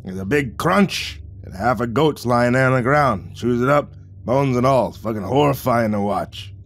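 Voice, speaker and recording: A man voicing a crude, loud male creature handler who talks in a gruff, blunt, coarse way. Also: mid-range voice, moderate pace, natural voice. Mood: gruff, brash.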